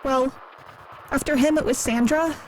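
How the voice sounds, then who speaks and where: An actress playing a timid, lonely, naive museum worker in an audio drama, speaking hesitantly and earnestly in a recorded interview. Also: female voice, high voice, steady tone, moderate pace, lightly acted, rough recording. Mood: timid, confiding. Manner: hesitant, soft-spoken, earnest. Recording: clearly audible background noise.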